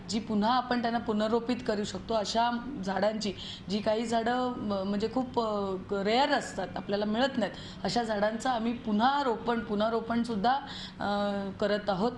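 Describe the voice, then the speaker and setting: Slightly high-voiced woman explaining haltingly. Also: steady tone. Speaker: interviewed civic official. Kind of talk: unscripted interview. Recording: faint background noise.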